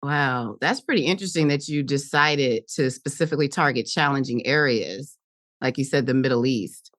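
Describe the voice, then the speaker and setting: A podcast host with a low voice, her sound dry and close. She comes across as upbeat and enthusiastic, welcoming her guest and prompting him with questions. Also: normal speed, a bit bright, no echo. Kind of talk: podcast interview.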